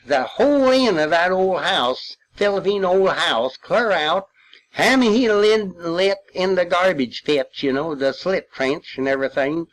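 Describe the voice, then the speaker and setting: A man's voice: sits high, animated, a little slow, rough recording. An older man telling war memories informally, in a folksy, rambling way with long hesitations. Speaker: war veteran recounting memories. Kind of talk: informal spoken reminiscence.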